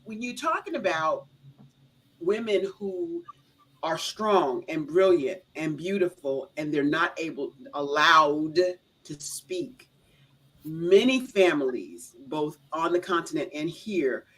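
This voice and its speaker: A woman's voice: sits low, animated, takes it slowly, husky, poor audio. A woman with an American accent hosting a talk show in a casual, affirming way, repeating phrases for emphasis. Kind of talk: casual conversational interview.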